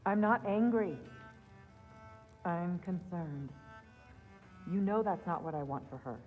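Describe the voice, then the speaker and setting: A stern, middle-aged goddess mother from a dramatic fantasy game. She speaks low, measured and reproachful, protective and worried. The sound has long reverb, is slightly muffled and has faint music underneath. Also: animated. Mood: concerned, firm. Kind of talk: dramatic game cutscene acting.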